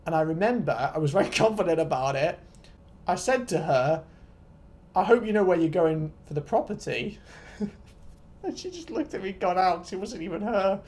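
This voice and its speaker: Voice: medium pitch, expressive, normal speed, darkish tone. A vlogger in their twenties, telling stories in a casual, rambling, self-deprecating and sweary rant to viewers. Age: 20s.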